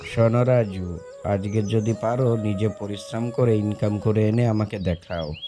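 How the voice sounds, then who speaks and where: A man playing a stern, principled farmer father in a moral cartoon, speaking slowly and solemnly as he instructs his son. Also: on the low side, music in the background, natural voice. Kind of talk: moral story cartoon dialogue.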